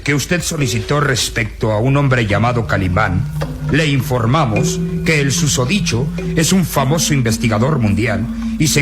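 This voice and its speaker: A man's voice: mid-range voice, natural voice. Commanding military officer played by a man, music behind. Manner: official, commanding, deliberate dictation. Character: brusque, authoritative middle-aged male military commander. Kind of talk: Latin American radio drama acting. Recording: radio drama with clear background music.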